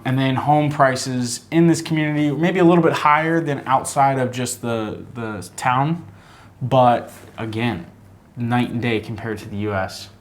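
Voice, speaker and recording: A man co-hosting a casual chat, relaxed and rambling, with fillers and restarts. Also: mid-range voice. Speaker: co-host.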